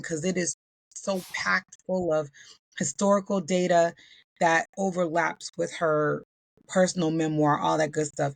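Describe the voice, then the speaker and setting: A woman co-hosting a casual talk show, her voice still a little husky from being ill, talking with enthusiasm in a folksy way. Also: on the low side, no room sound. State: slightly husky, recovering voice. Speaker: podcast co-host. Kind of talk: casual chat.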